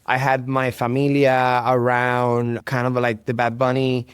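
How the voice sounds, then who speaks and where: Clear-voiced Puerto Rican man, earnest. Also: medium pitch, completely flat delivery, a little slow, no echo, studio quality. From Puerto Rico. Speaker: interview guest, performing artist. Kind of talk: reflective conversational interview.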